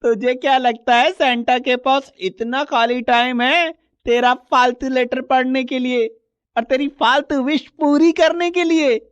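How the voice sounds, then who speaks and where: A woman acts out a haughty little boy in a children's cartoon. The voice is very high, clean and smooth, with slow, mocking, bossy delivery. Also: fairly even intonation, dry recording, muffled recording. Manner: bossy, sneering.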